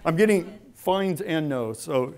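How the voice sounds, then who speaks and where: A man's voice: on the high side, animated, slow, a little mellow. A middle-aged man giving a conversational lecture in a large indoor hall, speaking into a lectern mic and then a clip-on mic while moving around. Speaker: guest speaker. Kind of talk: public lecture.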